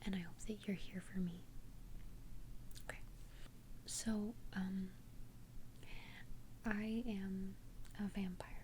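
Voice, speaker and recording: A woman's voice: medium pitch, fairly even intonation, dark tone, a little hiss or hum, natural voice, low-quality recording. Woman as a whispering young vampire confidante. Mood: earnest, nervous. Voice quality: breathy unvoiced whisper. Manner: hesitant, halting, earnest confession. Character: immortal young female vampire confiding tenderly in a best friend. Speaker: ASMR roleplay performer. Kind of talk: whispered ASMR roleplay.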